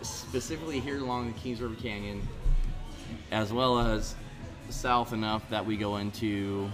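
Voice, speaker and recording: A man's voice: on the low side, steady tone, normal speed, over music. A man in his thirties from Central California, a podcast guest talking casually and rambling from one point to the next. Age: 30s. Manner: rambling, discursive. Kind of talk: casual chat. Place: bar.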